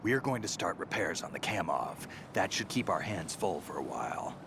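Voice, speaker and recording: An actor playing a calm, capable veteran soldier in a video game, matter-of-fact and assured. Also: male voice, deep voice, normal speed. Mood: steady, businesslike. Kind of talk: video game cutscene acting. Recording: noisy vehicle ambience under voice.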